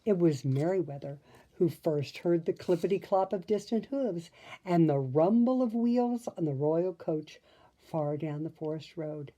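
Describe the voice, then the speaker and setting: Slow, very mellow woman storyteller. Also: lowish voice. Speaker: storybook reader. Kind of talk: expressive children's story reading with asides to listeners.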